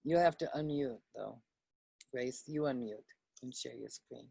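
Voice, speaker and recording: A woman's voice: extremely low pitch, measured pace, vocal fry, mellow, breathy edge, dry recording. A woman leading a casual online group discussion, often thinking aloud and repeating her phrases. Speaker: discussion leader of a poetry group. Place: online video call.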